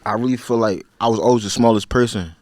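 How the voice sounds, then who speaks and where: A man in his twenties with a Philadelphia accent, a podcast guest talking in a relaxed, slang-heavy, rambling way. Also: lowish voice, fast talker. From Philadelphia, USA. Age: 20s. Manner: laid-back, slang-heavy, rambling with many fillers. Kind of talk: casual interview chat.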